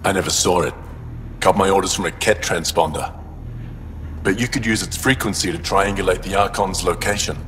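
A man's voice: low-pitched, normal speed, fairly bright tone, slight background noise. An actor playing a bitter, defiant male alien traitor held prisoner in a video game, weary and resentful. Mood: resigned. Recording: echoing cell, music behind. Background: game soundtrack music.

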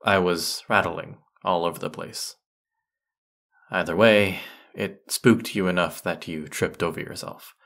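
A man's voice: deep voice, unhurried, creaky, a bit bright, full character voice. A man playing a grumpy, haughty century-old teapot spirit in an audio roleplay, scolding the listener with put-upon sarcasm. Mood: scolding, dismissive.

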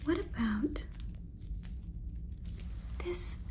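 A woman's voice: high-pitched, expressive, very slow, mellow, slight background noise, pitched above the performer's own voice, full character voice. A woman in her twenties voicing a polite, faintly condescending female AI robot overlord in a whispered ASMR roleplay, with stilted, soothing phrasing. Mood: quizzing, deadpan. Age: 20s. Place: small room indoors.

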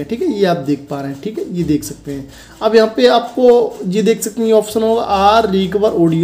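High-pitched young man giving a phone tutorial. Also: warm tone. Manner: instructional, addressing viewers directly, with repeated check-in tags. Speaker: host of a phone tutorial channel. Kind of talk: casual step-by-step tech tutorial voice-over.

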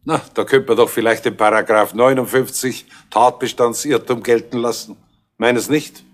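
An actor playing a sly, ironic private investigator in a 1970s radio crime play. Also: male voice, mid-range voice, steady tone, normal speed, lightly acted. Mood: teasing. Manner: ironic, smooth, teasing.

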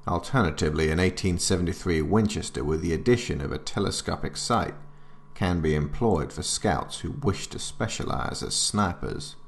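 A man's voice: low-pitched, normal speed, vocal fry. A man narrating a military handbook in a formal, grave, instructional manner, as scripted drama.

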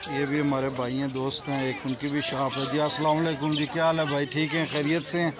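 A man's voice: on the high side, level tone, quick pace, a loud crowd. A man with a Pakistani Urdu accent touring an outdoor bird market on his phone, chatting casually and asking prices politely. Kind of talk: casual walking vlog with price haggling. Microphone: phone camera held while walking. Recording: loud street noise and bird chirps behind.

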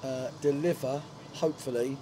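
A man's voice: medium pitch, fairly even intonation, normal speed, background noise. A middle-aged man, a football manager, talking frankly in a post-match interview in a stadium dugout, with lots of fillers and restarts. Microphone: handheld reporter mic.

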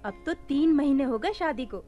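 High, animated courtly young wife. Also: female voice, moderate pace, narrow, tinny audio, soft background music. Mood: teasing, nagging. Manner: flowery formal Urdu, theatrical. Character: courtly, teasing young wife, sharp-tongued and mock-deferential. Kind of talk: Hindi radio play acting.

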